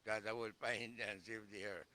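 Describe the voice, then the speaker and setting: Bright-voiced Jamaican man in his nineties. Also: lowish voice, fairly even intonation, slight background noise. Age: 90s. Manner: rambling, halting storytelling with many fillers. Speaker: interviewee. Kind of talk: oral history interview.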